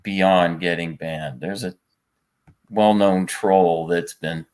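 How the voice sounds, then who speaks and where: A man with a low voice chats casually as a guest on a show. He takes his time, speaking slowly in brief, dryly delivered remarks. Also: fairly even intonation, darkish tone. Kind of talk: casual chat.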